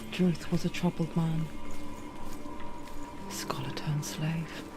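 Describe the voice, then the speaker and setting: A woman voicing a secretive narrator inside a warrior's mind, telling a dark game story in a breathy, intimate, grave manner. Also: sits low, fairly even intonation, slow, over music. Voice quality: breathy whisper.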